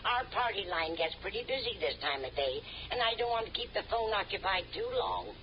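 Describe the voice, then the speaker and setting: A woman's voice: mid-range voice, animated, fairly quick, slight background noise, close to an everyday voice. An actress playing a dotty, absent-minded, kindly older woman in an old radio sitcom, vague and fluttery in manner. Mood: vague, cheerful. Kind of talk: 1950s radio situation comedy acting. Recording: muffled old radio recording, audience behind.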